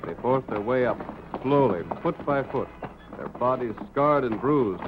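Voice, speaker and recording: A man's voice: medium pitch, animated, unhurried, background noise. Theatrical male narrator of old radio serial. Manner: theatrical, suspenseful, formal delivery. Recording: muffled 1930s radio with music behind. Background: dramatic music.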